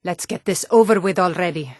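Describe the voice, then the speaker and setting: A woman's voice: fairly low pitch, brisk, a little mellow, no echo, studio quality, natural voice. An actress voicing a tough, proud Nord woman soldier and guard in a video game, blunt and commanding in manner. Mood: grim, impatient. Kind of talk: video game character voice lines.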